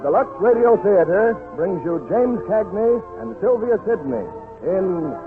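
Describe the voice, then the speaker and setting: A man announcing a 1940s radio show with grand, showy introductions in a raspy voice. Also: high voice, lively intonation, unhurried. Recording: muffled 1940s radio broadcast with music.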